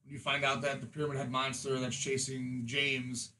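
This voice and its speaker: A man's voice: mid-range voice, level tone, normal speed, sharp tone. A man chatting casually as a panelist on a podcast about entertainment. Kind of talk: casual film and game discussion.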